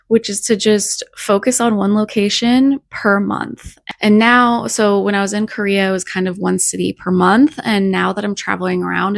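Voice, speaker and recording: A young woman with a scratchy, hoarse voice from a cold, talking earnestly and reflectively about faith in a casual vlog. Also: mid-range voice, no echo, studio quality. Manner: earnest, reflective, with soft laughs. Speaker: faith vlogger. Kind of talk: casual devotional talk to camera.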